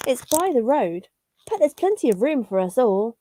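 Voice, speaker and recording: Woman voicing a high, welcoming little storybook creature. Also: very animated, moderate pace, warm tone. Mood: helpful. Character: helpful male neighbour creature, welcoming, storybook.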